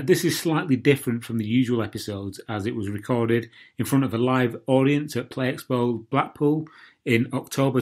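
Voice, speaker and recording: A man, a podcast host, reading a short plain introduction to the episode. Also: mid-range voice.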